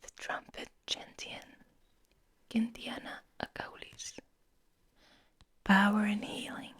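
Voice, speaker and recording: A woman hosting ASMR in a breathy whisper, speaking in a soft, soothing, unhurried manner. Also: medium pitch, wildly swooping pitch. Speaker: ASMR host.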